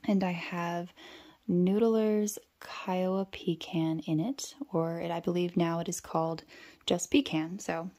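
A woman casually narrating as she journals, talking in an unhurried, musing way. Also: fairly low pitch, fairly even intonation, warm tone. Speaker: stationery vlogger.

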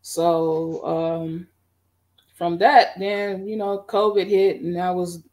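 A middle-aged woman on a video call, recounting her band history in a relaxed, rambling way with many fillers. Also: on the low side, slow pace. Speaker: guest band musician. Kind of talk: casual interview. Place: home room.

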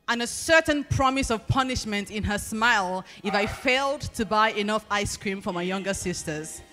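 A young Nigerian woman with a Nigerian accent performing spoken word poetry at a podium, with measured, expressive pacing and deliberate pauses. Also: medium pitch. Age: young adult. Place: large banquet hall at a podium. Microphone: handheld microphone.

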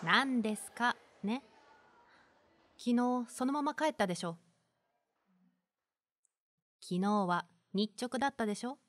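A woman's voice: on the high side, slow, long pauses, dry recording. A woman acting a strict but encouraging primary school teacher, firm and polite, in a voice drama. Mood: stern.

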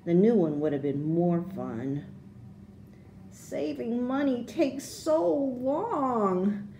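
A woman reading a children's storybook aloud, with expressive, deliberate phrasing for young listeners. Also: on the high side, creaky, slight background noise.

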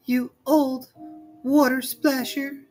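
A woman voicing a spoiled, tearful young princess in a children's storybook, with a high, whiny voice. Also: flat delivery, takes it slowly, a little mellow, soft background music.

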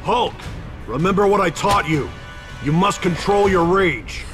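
A man playing a disciplined, respectful martial artist in a video game, earnest and measured. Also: sits high, lively intonation, over music. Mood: urgent. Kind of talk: video game drama acting.

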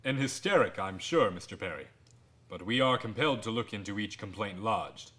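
An actor in a radio drama playing a courteous police inspector who speaks in a formal, measured way. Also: male voice, fairly low pitch, lively intonation, fairly bright tone. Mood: polite probing.

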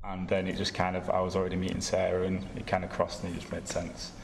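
A man's voice: low-pitched, monotone, quick pace, creaky. A man, a photographer, talking informally on a panel in a gallery, recorded from a distance. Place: large gallery room with seated audience. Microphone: distant camera microphone. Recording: echoey noisy room from far off.

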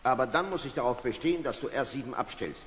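A man's voice: medium pitch, quick pace, a bit bright, slight background noise, natural voice. A man playing a stern, commanding spaceship officer in a German audio drama, with brusque, authoritative diction. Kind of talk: 1970s German children's audio drama acting. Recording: reverberant vintage audio drama.

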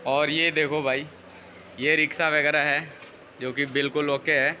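A man's voice: on the high side, flat delivery, a little slow, sharp tone, a little hiss or hum. An Indian man giving casual, repetitive walk-through commentary as a vlogger, recorded on a handheld phone in a workshop. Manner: informal, repetitive narration. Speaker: vlogger showing around a factory. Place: large workshop and its yard.

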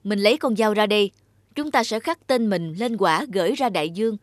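A woman's voice: medium pitch, brisk, dry recording, studio quality, close to an everyday voice. A woman voicing a humble, hopeful young husband and father, earnest and respectful, in a cartoon fairy tale.

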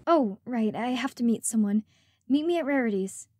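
A woman's voice: on the high side, animated, moderate pace, dark tone, no room sound. A woman voicing a sweet, anxious young female unicorn pony in an amateur cartoon drama, earnest and emotive. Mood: friendly. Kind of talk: amateur animated audio drama.

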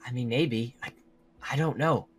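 A man's voice: medium pitch, measured pace, breathy edge. A young man playing a protective, earnest teenage werewolf friend in a Minecraft roleplay drama, speaking in broken, dramatic phrases. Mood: tense recounting. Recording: music behind the voice.

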